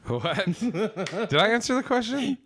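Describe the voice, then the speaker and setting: A man's voice: high voice, sing-song, takes it slowly, fairly bright tone, slightly rough. A stoned, dry-mouthed American man from the Pacific Northwest hosting a casual podcast, scattered and easily confused. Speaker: podcast host. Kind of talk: casual chat.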